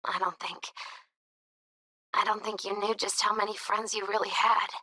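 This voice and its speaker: A woman playing a gentle, earnest young tarot reader in a video game, with thoughtful, hesitant phrasing. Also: medium pitch, flat delivery, moderate pace. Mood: worried, solemn. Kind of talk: naturalistic video game dialogue acting.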